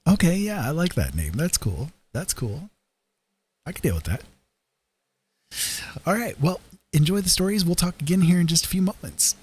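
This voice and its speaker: A man hosting a livestream, chatting casually in a halting, rambling way. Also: a little high, sing-song, a little mellow, husky. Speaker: livestream host.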